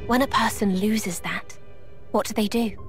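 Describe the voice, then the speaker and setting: A woman's voice: mid-range voice, measured pace, faint music behind, natural voice. A woman playing a thoughtful, serious fantasy heroine. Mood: thoughtful, grave. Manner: thoughtful, earnest. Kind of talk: dramatic video game cutscene dialogue.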